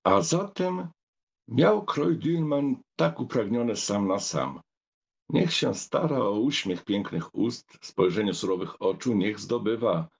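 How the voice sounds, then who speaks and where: A man's voice: fairly low pitch, lively intonation, takes it slowly, creaky, breathy edge. A man narrating a literary audiobook from a script, as a storyteller.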